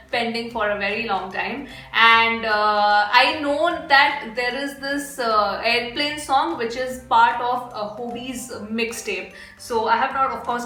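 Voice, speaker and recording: A woman's voice: a little high, sharp tone. A young woman with an Indian English accent hosting a casual music reaction vlog, gushing and exclamatory, chatting with fillers. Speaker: reaction video host. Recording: echoey room with faint background music.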